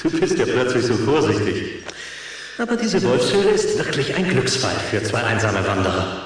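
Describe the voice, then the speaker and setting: A man's voice: medium pitch, big pitch swings, moderate pace, close to an everyday voice. Cheerful outdoorsy dad played by a man, noisy recording. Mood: enthusiastic. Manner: teasing, enthusiastic, chuckling. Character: Anton's cheerful, unworried outdoorsy father, middle-aged. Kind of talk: German audio drama acting. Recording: noisy old audio drama recording.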